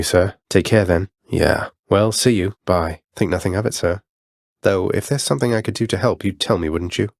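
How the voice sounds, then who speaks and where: Young underworld prince, video game hero, low voice, polite, wry, determined, dry studio sound. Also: male voice, no room sound. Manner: courteous, dry wit, often says sir. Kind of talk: scripted video game voice lines.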